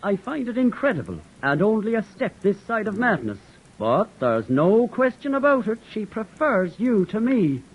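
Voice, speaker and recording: A man's voice: high-pitched, sing-song, normal speed, hardly any pauses, slightly rough, full character voice, poor audio. An actor playing Tim, a stubborn, jealous young man with a lilt, in 1940s-style radio drama acting. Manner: blunt, sulky, indignant.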